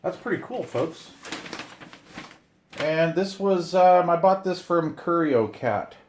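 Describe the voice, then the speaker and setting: A middle-aged or older man chatting casually to viewers while unboxing, excited and rambling, often talking to himself. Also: a little high, slow, a little hiss or hum. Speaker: hobbyist video host. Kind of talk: casual unboxing chat to camera.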